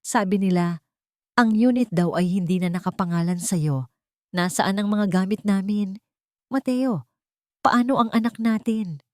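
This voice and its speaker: A woman's voice: mid-range voice, unhurried, pauses often, a little mellow, no echo, studio quality, voice pushed up, natural voice. A woman voicing a frantic, confused young mother, a tearful secret mistress in an audio drama. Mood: panicked, tearful. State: crying, confused.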